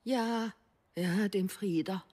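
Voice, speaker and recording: A secretive older mother in a radio play. Her voice is husky and breathy, and she speaks haltingly, with a wistful, confessional tone. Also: medium pitch, mellow. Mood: secretive, hesitant. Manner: halting, hesitant. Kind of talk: radio drama dialogue.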